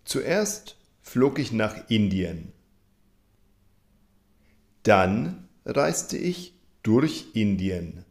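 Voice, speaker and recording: A man teaching German grammar in a slow scripted voice-over, reading example sentences and repeating them. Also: on the low side, vocal fry, a little mellow.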